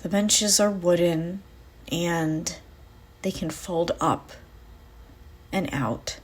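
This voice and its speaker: A woman leading a guided visualization in a soft, breathy, soothing voice, leaving long pauses between phrases. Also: on the low side. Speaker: guided meditation host. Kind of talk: guided visualization meditation, first-person.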